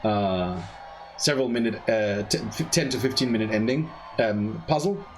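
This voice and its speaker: Halting man commentating on a speedrun. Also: lowish voice, a little hiss or hum. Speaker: speedrunner commentating at a gaming marathon. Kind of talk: casual live speedrun commentary.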